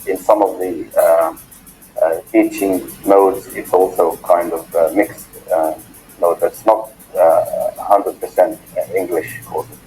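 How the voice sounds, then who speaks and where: Deep, mellow man. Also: little pitch movement, takes it slowly, faint music behind, poor audio. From Sudan. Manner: polite and formal. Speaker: PhD student interviewee. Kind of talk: academic interview self-introduction.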